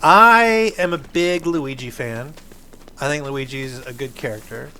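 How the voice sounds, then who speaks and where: A man's voice: medium pitch, unhurried, pushed and bright, slight background noise. A man hosting a gaming video, giving casual, enthusiastic gameplay commentary in an informal, explaining way. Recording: game music behind the voice.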